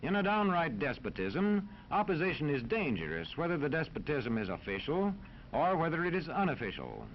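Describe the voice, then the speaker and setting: An American man narrating a scripted 1940s educational film in a formal, authoritative, measured manner. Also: fairly high pitch, huge pitch swings. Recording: dull 1940s film soundtrack, faint noise.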